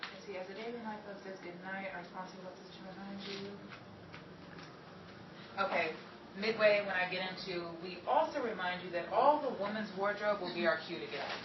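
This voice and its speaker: A woman's voice sounds away from the mic in an echoey room with clear background noise. She talks casually and haltingly as a director giving cues in rehearsal. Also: mid-range voice, far from the mic, highs cut off. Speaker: director of a radio show.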